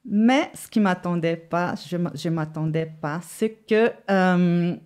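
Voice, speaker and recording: Woman, mellow and slow-paced. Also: lowish voice, clean tone. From Brazil. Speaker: guest giving testimony. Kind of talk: personal testimony in an interview. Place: studio set.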